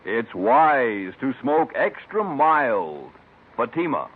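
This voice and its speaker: Animated American 1950s radio pitchman. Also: slow pace. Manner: emphatic, salesmanlike. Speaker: commercial announcer. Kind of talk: 1950s radio advertising read. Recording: narrow-band old radio recording, slightly rough.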